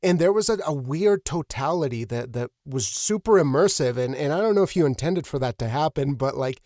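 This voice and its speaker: A man's voice: on the high side, lively intonation, a little mellow, no room sound. Dry-sounding man hosting a podcast interview. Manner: effusive, earnest, halting phrasing. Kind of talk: casual, reflective interview talk.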